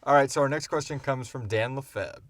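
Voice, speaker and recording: A man's voice: medium pitch, brisk, dry recording, clean studio sound. A man chatting casually as a podcast co-host, rambling along with enthusiasm as he answers questions. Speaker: creator and co-host of a fiction podcast. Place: small enclosed recording booth.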